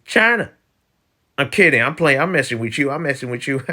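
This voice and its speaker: A man's voice: medium pitch, lively intonation, brisk, sharp tone, pitched above the performer's own voice. A man putting on a teasing southern-drawl dude. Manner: teasing, playful. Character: jokey young man with a thick southern drawl.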